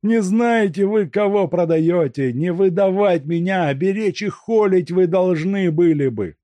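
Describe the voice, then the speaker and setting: Cornered thug, high and strained, begging and snarling by turns; male narrator puts him on. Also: a little slow, warm tone, dry recording. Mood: threatening. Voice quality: strained, raised and pleading. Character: desperate captured male robber, pleading then menacing. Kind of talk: audiobook character voice.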